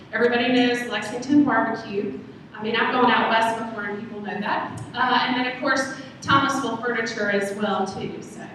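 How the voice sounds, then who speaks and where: A woman with a loud voice, presenting at a conference as director of a college learning center, chatty and asking the audience questions. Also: fairly high pitch. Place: conference room. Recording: echoey hall, distant mic, faint noise.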